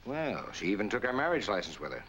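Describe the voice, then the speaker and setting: An actor playing a smooth, duplicitous husband in a 1940s crime film, speaking with glib politeness. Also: male voice, lowish voice, sing-song, fast talker, fairly bright tone, slight background noise. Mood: smooth, polite. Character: smooth, duplicitous schemer posing as a caring husband.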